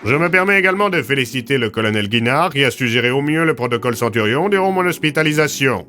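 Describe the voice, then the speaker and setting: An actor playing a commanding military chief in an audio drama, formal, gracious and given to speech-making. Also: male voice, mid-range voice, animated, fast talker, sharp tone, faint music behind, a faint crowd. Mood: formal, congratulatory. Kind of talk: French audio fiction acting.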